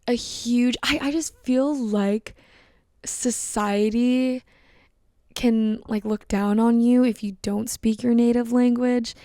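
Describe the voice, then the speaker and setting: A woman's voice: fairly high pitch, warm tone, no room sound, clean studio sound. A young woman hosting a podcast alone, talking candidly and reflectively about her own life, with frequent fillers and restarts. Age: young adult. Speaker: podcast host. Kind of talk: casual solo podcast monologue.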